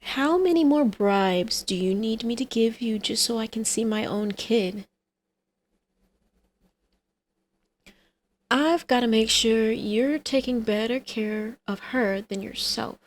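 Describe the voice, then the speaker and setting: A woman's voice: fairly high pitch, close to an everyday voice. A woman playing a flirty female villain and doting mother in an ASMR roleplay, with a teasing, coaxing, sardonic manner. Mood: teasing, insistent.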